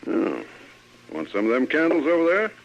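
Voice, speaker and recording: An actor playing a patient, chatty storekeeper in an old radio western, with folksy, coaxing American patter. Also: male voice, fairly high pitch, huge pitch swings, normal speed. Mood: friendly, patient. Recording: noisy, dull old radio recording.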